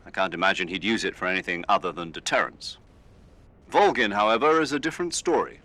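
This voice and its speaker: A man playing an authoritative military commander in a video game, giving grave, measured mission briefings. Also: sits low, pushed and bright. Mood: grave, urgent.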